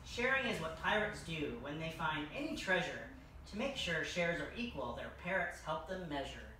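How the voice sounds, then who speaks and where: A young adult hosts a children's story time, reading aloud in a bright voice. The recording is distant and very echoey, with faint noise behind it. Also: medium pitch, very animated, normal speed. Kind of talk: read-aloud children's storytelling in costume as a king. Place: large furnished room indoors. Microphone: distant camera microphone.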